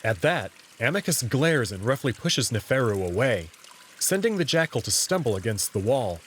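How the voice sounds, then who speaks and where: A man's voice: mid-range voice, big pitch swings, normal speed, a bit bright, a little hiss or hum. A man reading first-person story narration in the role of narrator.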